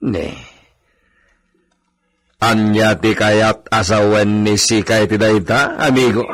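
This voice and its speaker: A man's voice: lowish voice, steady tone, slow pace, a bit bright. An Ilocano-accented man acting a haughty, vindictive hacienda owner in a radio drama, barking and ordering people about imperiously. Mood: bitter, vindictive. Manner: imperious, barks orders at servants. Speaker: actor in a radio comedy drama.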